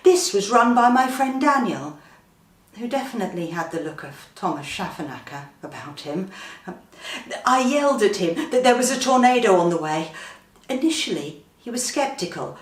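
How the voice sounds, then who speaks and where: A woman's voice: medium pitch, animated, moderate pace. A British woman reading a comic first-person story in an earnest, self-important, theatrical manner. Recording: roomy, slightly distant microphone.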